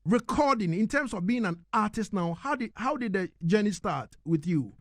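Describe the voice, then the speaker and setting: A man's voice: high-pitched, expressive, normal speed, no echo. A man hosting a live radio interview as presenter.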